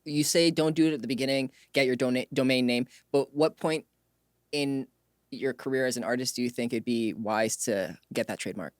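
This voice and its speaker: Fast talking podcast host asking questions, casual and agreeable, dry recording. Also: medium pitch, no echo. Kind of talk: casual interview chat.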